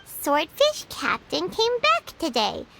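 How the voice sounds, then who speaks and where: A woman voicing a tiny, childlike fairy girl companion in a video game, squeaky, playful and exclamatory. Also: huge pitch swings, takes it slowly, gravelly, heavy background noise, exaggerated voice. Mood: curious, eager. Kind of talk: video game character acting. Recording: game music behind the voice.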